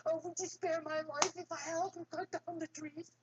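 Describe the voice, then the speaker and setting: A woman's voice: very high voice, little pitch movement, normal speed, dull audio, very noisy, pitched above the performer's own voice. A woman voicing a huge hungry male troll who roars threats and then turns meek and pleading in a told folktale. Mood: wheedling.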